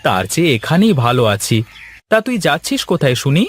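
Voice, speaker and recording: A man's voice: fairly high pitch, sing-song, a little fast, slight background noise. A man voicing a weary village man in a cartoon, familiar and fond of a chat. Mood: weary, friendly. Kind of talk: cartoon voice acting.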